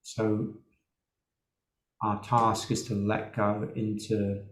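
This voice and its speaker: A man with a fairly low voice guides a meditation in a roomy, echoing space. He speaks very slowly, leaving long pauses between short phrases, as a teacher leading listeners through the practice. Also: monotone, slight background noise.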